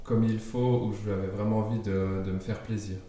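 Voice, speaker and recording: A man's voice: lowish voice, little pitch movement, moderate pace, dark tone, heavy reverb, far from the mic. A man raised in Switzerland talking casually about his path into furniture design, hesitating often with fillers and restarts, recorded in his apartment. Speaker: furniture designer interviewed at home. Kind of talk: casual interview.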